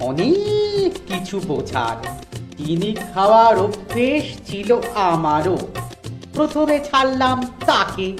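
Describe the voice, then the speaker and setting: An actor voicing a wise, stalling village judge in theatrical, singsong cartoon verse. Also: medium pitch. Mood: explaining. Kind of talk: rhyming cartoon dialogue. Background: music.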